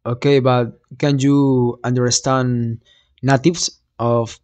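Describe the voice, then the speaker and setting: Ein junger Mann, dessen Muttersprache Spanisch ist und der in einem kleinen Zimmer locker in ein Handmikrofon Fremde nach ihren Sprachen fragt. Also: male voice, medium pitch, flat delivery, a little mellow. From Peru. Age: young adult. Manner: friendly, asks quick questions. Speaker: host. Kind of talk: casual video chat with strangers. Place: small room indoors. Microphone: handheld microphone.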